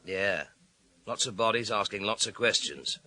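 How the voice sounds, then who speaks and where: An actor playing a dry, sardonic British police detective inspector in a radio thriller, blunt and clipped in manner. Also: male voice, fairly low pitch, animated, normal speed, pushed and bright. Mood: weary, wry. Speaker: actor in a radio crime drama.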